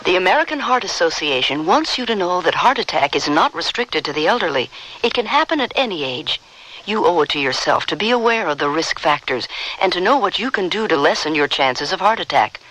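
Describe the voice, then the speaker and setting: A male announcer reads a public-service health appeal. His voice is somewhat high and forceful, with lively inflection that stresses the key phrases. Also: fairly quick, low-quality recording.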